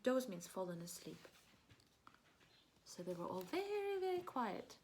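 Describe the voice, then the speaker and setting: Slow-paced woman reading to preschoolers. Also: fairly high pitch, a little hiss or hum. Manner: expressive, simple child-directed speech, asks questions and answers them. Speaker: preschool teacher. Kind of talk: children's storybook read-aloud.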